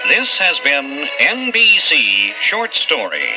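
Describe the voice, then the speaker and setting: A man's voice: fairly high pitch, huge pitch swings, takes it slowly. A man announcing an old-time radio programme in a dramatic, scripted style. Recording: dull old radio recording, loud music.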